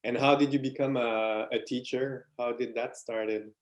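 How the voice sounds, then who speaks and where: A man's voice: medium pitch, fairly even intonation, unhurried, rough recording. A man interviewing a guest over a video call, hesitant and full of filler sounds.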